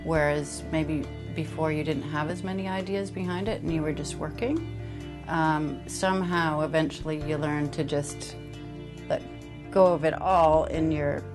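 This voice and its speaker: Low-voiced thoughtful woman. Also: steady tone, measured pace. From Vancouver, Canada. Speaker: art student. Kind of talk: interview testimonial. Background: music.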